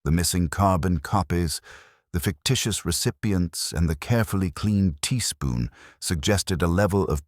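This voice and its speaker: A man reading scripted narration of a mystery story in a measured, formal and wry storytelling manner. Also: deep voice, dry recording, studio quality.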